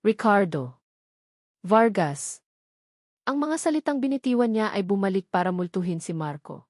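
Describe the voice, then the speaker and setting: A woman's voice: mid-range voice, animated, normal speed, darkish tone, dry recording, clean studio sound. A woman narrating a scripted love story in a solemn, suspenseful, dramatic manner.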